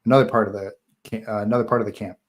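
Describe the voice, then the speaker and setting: A man's voice: on the low side, fairly even intonation, racing. A man narrating stage directions at a live table read of a horror screenplay, in scripted narration style.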